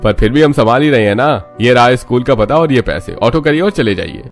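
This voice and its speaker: A man's voice: mid-range voice, lively intonation, brisk, soft background music, close to an everyday voice. A man voicing grown brothers in a cartoon story, bossy and matter-of-fact. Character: adult brothers running the household, teasing and stubborn.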